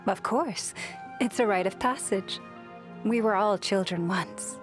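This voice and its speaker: Slow, serene young moon priestess played by an actress. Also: female voice, mid-range voice, music in the background, lightly acted. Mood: serene, gentle. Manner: soft, measured, reflective, breathy at phrase ends. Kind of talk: scripted video game character voice lines.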